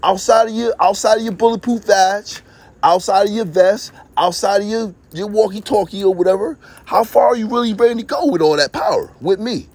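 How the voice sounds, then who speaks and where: A man's voice: high-pitched, fairly quick, a little mellow, breathy edge. A man rambling on in a boastful, streetwise monologue, repeating himself, recorded outdoors in his yard on a phone.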